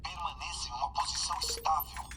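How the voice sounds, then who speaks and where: An actor voicing an impersonal medical alarm machine in a Brazilian video game dub, giving calm procedural announcements. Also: male voice, on the high side, quick pace, close to an everyday voice. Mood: impassive. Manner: flat, procedural. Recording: echoey, distant, music behind. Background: music.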